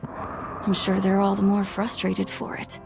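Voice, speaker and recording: A stern, diplomatic stateswoman, formal and composed, with a noticeable creak in her mid-pitched voice. Music plays behind this game dialogue. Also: monotone, unhurried. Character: composed, stern female government official. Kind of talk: video game cutscene acting.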